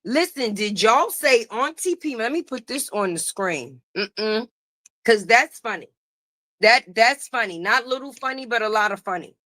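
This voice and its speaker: Loud sassy high-voiced woman streamer. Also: animated, a little slow, dry recording. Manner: sassy, loud, greets viewers by name. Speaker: live stream host. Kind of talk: casual gossip commentary.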